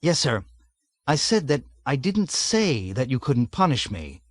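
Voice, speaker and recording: A man voicing a timid young cadet who answers politely and hesitantly, often quiet and low. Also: big pitch swings, a little slow, no echo. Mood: meek, flustered. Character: timid young male air cadet under interrogation.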